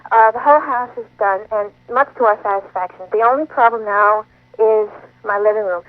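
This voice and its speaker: A woman's voice: mid-range voice, brisk. Woman caller on a tinny phone line. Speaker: caller to an advice show. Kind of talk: conversational account of a personal problem. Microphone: telephone.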